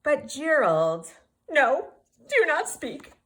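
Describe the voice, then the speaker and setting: Lady doing a bouncy excitable girl pig, high and lively. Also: takes it slowly, full character voice. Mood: eager. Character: cheerful energetic female pig, bossy best friend.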